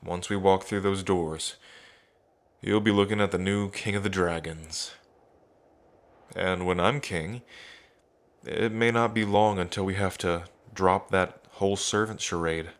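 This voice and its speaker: A cocky young dragon king, acted by a man, speaks in a low, slow voice. He is casual and playful, teasing the listener fondly in an intimate fantasy ASMR roleplay. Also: steady tone. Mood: nervous, wry.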